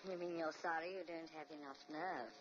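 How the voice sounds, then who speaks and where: A woman's voice: sits low, brisk, noisy background, natural voice. An actress playing a cold, teasing woman sculptor with a sinister streak, in a 1940s radio play. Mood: mocking. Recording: dull old radio recording with music.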